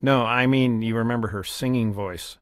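A man playing a gentle, regretful middle-aged father in a video game, speaking in a reflective, conversational way. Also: medium pitch, fairly even intonation, normal speed, clear, resonant tone, dry recording, studio quality, natural voice. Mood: tender, wry.

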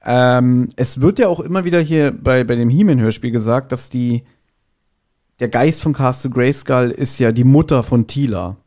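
Mellow-voiced man chatting. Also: medium pitch, normal speed. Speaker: podcast guest. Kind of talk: casual chat, reviewing an audio drama.

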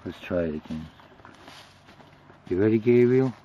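A middle-aged man filming a family camping trip in the woods, joking and teasing in a low, offhand way into a handheld camera. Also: animated, measured pace, highs cut off. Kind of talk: casual home video chat. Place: outdoors in a wooded campground. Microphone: handheld camera held close. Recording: outdoor camcorder noise.

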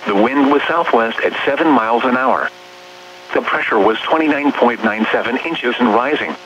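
A synthetic man's voice reading an automated weather bulletin in a measured, impersonal way, as a radio announcer. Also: medium pitch, expressive, a crowd in the background. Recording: hummy, muffled weather radio reception. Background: radio hum and noise.

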